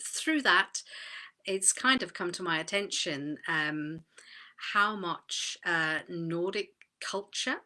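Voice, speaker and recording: A woman from Denmark giving a relaxed, chatty live video talk from a small home office, with many fillers and restarts. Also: fairly low pitch. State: tired. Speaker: host of an online community talk.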